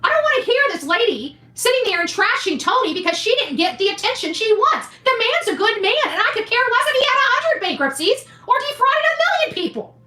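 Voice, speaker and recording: Female livestream host, indignant and blunt, animated bright delivery, scolding opinion rant with repetition. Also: very high-pitched, brisk. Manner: indignant, scolding, repeats phrases for emphasis; blunt, mildly profane. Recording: echoey room, faint music behind.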